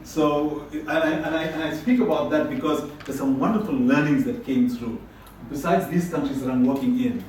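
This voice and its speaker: A man's voice: fairly high pitch, moderate pace, few pauses, darkish tone, a little hiss or hum. A man from South Africa giving a conference presentation in a conversational way. He often restarts and repeats words. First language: Tamil. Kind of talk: presentation with audience questions. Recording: distant mic in echoey conference room.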